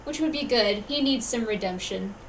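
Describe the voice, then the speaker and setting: A woman's voice: fairly high pitch, normal speed, distant mic, heavy background noise. A woman livestreamer giving casual reaction commentary while playing a video game. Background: game music and dialogue.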